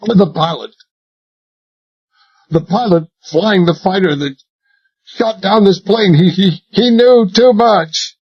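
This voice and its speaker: A man's voice: high-pitched, takes it slowly, pauses often, breathy edge, pitched above the performer's own voice, exaggerated voice. A man voicing a terrified, choking captive who screams and stammers as he begs for his life, on a dull lo-fi recording. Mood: desperate. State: choking, out of breath.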